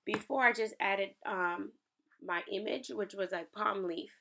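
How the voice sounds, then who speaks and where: A young woman talks viewers through a design tutorial on her screen. Her voice sits at a middle pitch and is raspy and gravelly from the early morning. She is chatty and upbeat and speaks to the viewers directly. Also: measured pace, pushed and bright. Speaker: small business owner and lifestyle creator. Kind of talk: screen-share tutorial walkthrough.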